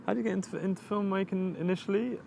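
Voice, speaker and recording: A man with an English accent, answering interview questions in a halting, reflective way, often restarting sentences while he searches for words. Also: high voice, creaky, darkish tone, a little hiss or hum. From England. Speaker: videographer interviewee. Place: outdoors overlooking the ocean.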